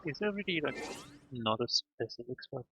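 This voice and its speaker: A man's voice: mid-range voice, lively intonation, normal speed, muffled recording, background noise. A man streaming a game, mumbling to himself and reading notes aloud in a casual, half-pleading way. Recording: game music playing behind.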